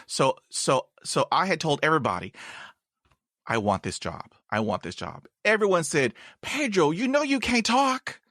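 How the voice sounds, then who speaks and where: A man's voice: medium pitch, fairly bright tone, dry recording, studio quality. A man hosting a podcast, chatting casually and telling stories, with stuttered repeats and blocks. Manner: stutters with repeated sounds, storytelling. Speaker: podcast host. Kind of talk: casual interview chat.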